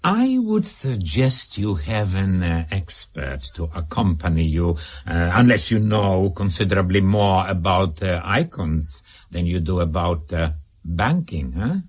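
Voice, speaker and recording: An actor playing a dry, condescending Soviet superior in a radio drama, speaking with sardonic, deliberate hesitation. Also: male voice, low voice, big pitch swings, a little mellow, husky, exaggerated voice. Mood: dry condescension.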